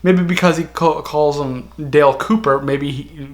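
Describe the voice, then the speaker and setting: A man hosting a casual fan discussion, speaking in a halting, rattled and intense way. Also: fairly high pitch, steady tone. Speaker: video host.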